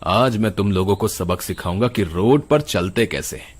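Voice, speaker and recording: A man playing the stern, righteous, caring ghost of a dead doctor in an animated moral story, speaking in an earnest, scolding way. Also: fairly low pitch, moderate pace, close to an everyday voice. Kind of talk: animated Hindi moral horror story acting. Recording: music behind the voice.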